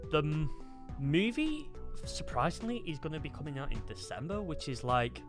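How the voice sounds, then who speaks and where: A man co-hosting a casual discussion, speaking haltingly and repeating words as he goes. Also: fairly high pitch, big pitch swings. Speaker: podcast co-host. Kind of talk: casual chat about video game films. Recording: music behind the voice.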